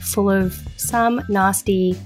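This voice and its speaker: This is a woman co-hosting a chatty podcast while sick. Her voice is croaky and congested from a head cold. She talks casually and pokes fun at herself, at an ordinary mid pitch for a woman. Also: steady tone, slow pace, darkish tone, faint music behind. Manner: chatty, self-deprecating, laughs at herself. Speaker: podcast host. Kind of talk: casual chat. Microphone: remote recording.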